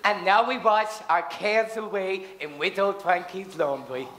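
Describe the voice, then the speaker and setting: A man's voice: sits high, unhurried, bright, forceful tone. A man playing a happy, thankful comic pantomime suitor, declaiming rhymes on stage. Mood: cheery, grateful. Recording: distant echoey theatre recording with noise.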